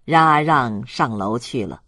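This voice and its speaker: An audiobook narrator reading a literary story in a measured, dramatic storytelling manner. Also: mid-range voice, expressive, bright, forceful tone, no room sound, studio quality.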